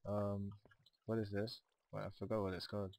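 A man with a hoarse, fading voice, chatting casually while playing a co-op game, often hesitant and stumbling over his words. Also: lowish voice, level tone, moderate pace, a little mellow. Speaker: co-op game player. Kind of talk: casual gameplay chat.

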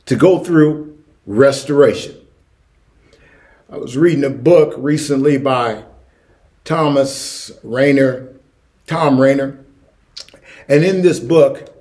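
A man's voice: a little high, darkish tone. A middle-aged American man preaching a sermon as a pastor, emphatic and given to repeating key phrases. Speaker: senior pastor. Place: church sanctuary, indoors.

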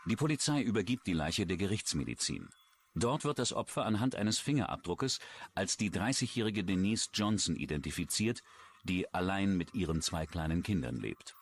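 A man's voice: low voice, expressive, normal speed, slight background noise. A man reading scripted true-crime narration as a documentary narrator.

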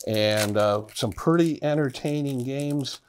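A man's voice: mid-range voice, a little slow, slight background noise. A middle-aged man hosting a cooking show from a kitchen set, chatting upbeat about football while he cooks. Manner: chatty, upbeat sports talk. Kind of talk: casual chat while cooking.

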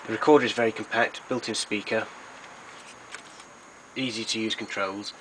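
A man with a fairly low voice narrates an informal product demonstration. He explains things plainly and a little haltingly, like a hobbyist collector. The amateur recording has noticeable noise behind him. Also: steady tone, fairly bright tone, rough recording. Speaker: collector presenting his tape recorders.

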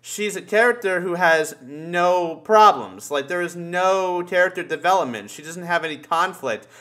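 Male podcast talker, fairly high-pitched, opinionated, profane, conversational. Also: normal speed, a bit bright. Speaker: podcast participant. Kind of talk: casual chat.

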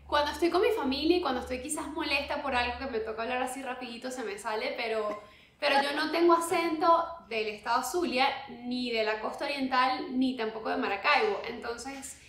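A young Venezuelan woman in her twenties, a guest talking calmly over a video call from home. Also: high voice, brisk, roomy sound. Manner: calm, soft-spoken. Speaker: guest, pageant queen. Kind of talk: casual interview. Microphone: earphone mic on video call.

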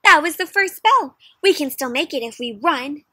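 A woman voicing an eager, confident schoolboy full of wild ideas in a storybook reading, with excited exclamations. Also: high-pitched, normal speed, dry recording, clean studio sound. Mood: urgent, excited.

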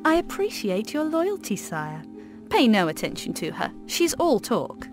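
Bossy, sardonic mistress, animated voice. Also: female voice, on the high side, over music. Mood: persuasive. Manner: brisk, sarcastic, nagging. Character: bossy, sardonic young woman, scolding elder-sister mistress. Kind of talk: fantasy video game voice acting.

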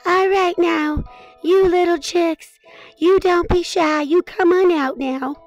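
Woman playing a very high-voiced, doting mother hen. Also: fairly even intonation, lo-fi sound, soft background music, full character voice. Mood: doting, tender. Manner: cooing, encouraging, drawn-out delivery. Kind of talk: children's storybook character voice.

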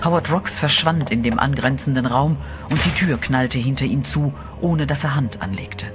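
A man's voice: medium pitch, moderate pace. Man narrating a horror radio play. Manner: steady, dramatic storytelling. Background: eerie music.

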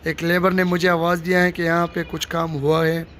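Man, high voice, casual walking vlog, handheld phone outdoors, faint background noise. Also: monotone, normal speed, fairly bright tone. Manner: addresses viewers directly, repetitive showing phrases. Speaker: vlogger giving construction updates. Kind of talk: casual walking tour narration. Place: outdoors in a cricket stadium under construction. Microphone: handheld phone camera held by the speaker.

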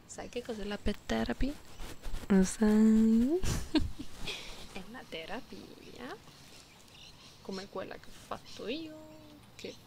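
A woman plays a playful, affectionate catgirl in an intimate ASMR roleplay. Her delivery is slow and lingering, breathy with a creaky edge, often sinking into a soothing, teasing whisper. It is a close binaural recording with faint noise underneath. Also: on the high side. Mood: tender, coaxing.

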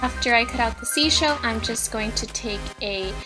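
A woman's voice: a little high, normal speed, low-quality recording. Bubbly young woman talking over music. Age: young adult. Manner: bubbly, upbeat, step-by-step instructions. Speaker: DIY vlogger. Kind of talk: casual tutorial voice-over. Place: indoors in front of a brick wall. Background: background music.

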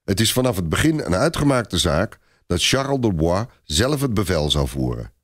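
A man narrating a dramatic historical story for a radio play in an expressive storytelling manner. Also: low-pitched, moderate pace.

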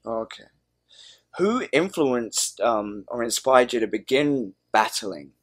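A man asking brief, measured interview questions. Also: medium pitch, lively intonation.

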